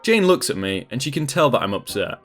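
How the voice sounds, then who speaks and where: A man narrating a read-aloud online story in a scripted, expressive manner. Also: medium pitch, brisk. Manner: lively, expressive reading. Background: faint background music.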